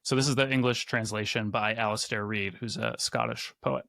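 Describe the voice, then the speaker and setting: A man co-hosting a conversation about poetry, speaking in a halting, hedging way with many restarts and fillers. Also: mid-range voice, fairly even intonation, vocal fry, a bit bright, dry recording, studio quality. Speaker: podcast co-host. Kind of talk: conversational chat and poetry reading.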